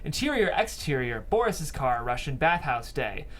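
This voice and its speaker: A man's voice: a little high, sing-song, normal speed, fairly bright tone. A man reading stage directions as narrator at a script table read.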